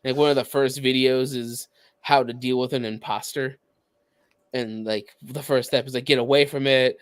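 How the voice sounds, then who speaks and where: A man co-hosting a podcast, explaining things casually in a halting, searching way. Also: mid-range voice, fairly even intonation, no echo. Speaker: podcast co-host.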